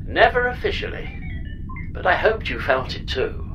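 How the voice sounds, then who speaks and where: A woman's voice: mid-range voice, wildly swooping pitch, normal speed, lo-fi sound, over music. A woman playing a chatty, flippant and reckless spaceship pod computer in a comedy audio drama, talking in a breezy, mock-grand theatrical way. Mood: breezy, wistful.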